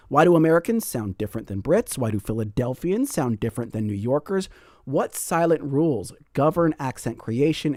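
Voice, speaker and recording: A man's voice: mid-range voice, darkish tone, no echo, clean studio sound. A man hosting a public radio call-in show, talking in a friendly way and reading out listener comments. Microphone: studio microphone.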